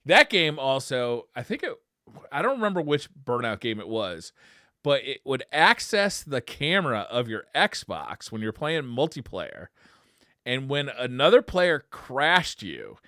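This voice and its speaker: A man's voice: mid-range voice, wildly swooping pitch, measured pace, bright, forceful tone, no echo, studio quality. An enthusiastic man, chatting casually as a gaming podcast co-host. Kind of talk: casual chat about video games.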